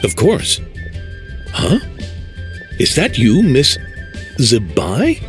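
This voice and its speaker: A man's voice: mid-range voice, huge pitch swings, extremely slow, exaggerated voice. A man playing a hearty, effusive old village grandfather in a video game, devout and theatrical. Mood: friendly, hearty. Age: older. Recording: music behind the voice.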